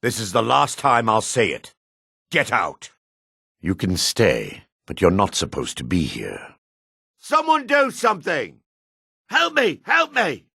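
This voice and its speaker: Suspicious dark elf man, fantasy game character, gravelly, slow-paced, curt, sneering, middle-aged. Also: medium pitch, wildly swooping pitch, no room sound, clean studio sound, full character voice. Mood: menacing, cold, then panicked shouting. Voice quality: gravelly, raspy. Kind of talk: video game character barks.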